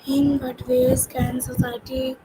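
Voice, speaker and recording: Young student interviewer woman, high voice, little melody, hesitant delivery, background hiss and room noise. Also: flat delivery, music in the background. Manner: halting, stumbles and restarts words. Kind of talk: reading prepared interview questions. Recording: noisy home ambience.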